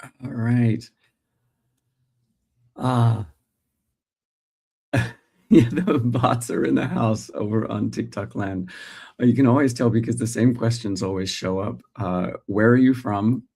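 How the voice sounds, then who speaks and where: A middle-aged Australian man hosting a casual morning livestream, thinking aloud with pauses and restarts while greeting his viewers. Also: mid-range voice, vocal fry, a little mellow, husky. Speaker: host.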